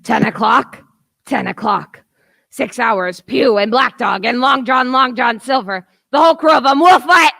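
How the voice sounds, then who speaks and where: A woman playing a gravelly old sea captain. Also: on the high side, measured pace, a bit bright, dry recording, exaggerated voice. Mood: panicked. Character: gruff, desperate old sea captain, male, rum-craving. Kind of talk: radio play acting.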